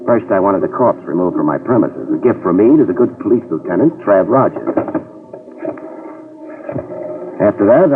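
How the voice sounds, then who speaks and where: An American actor playing a wry, slangy, fearless New York private eye in 1950s radio style. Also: male voice, sits low, background noise. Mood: wry, cool. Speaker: lead actor in a radio detective series. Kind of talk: 1950s radio acting, hard-boiled narration. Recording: tinny, band-limited 1950s radio recording.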